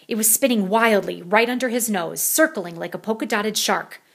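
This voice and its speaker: A woman's voice: mid-range voice, lively intonation, moderate pace, creaky, breathy edge. A woman reading a children's picture book aloud as a storyteller.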